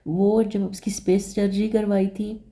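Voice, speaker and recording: A woman vlogging in a chatty way, hoarse from a sore throat, repeating words and slipping English phrases into her talk. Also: medium pitch, little pitch movement, unhurried, warm tone. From Pakistan. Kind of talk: casual vlog narration.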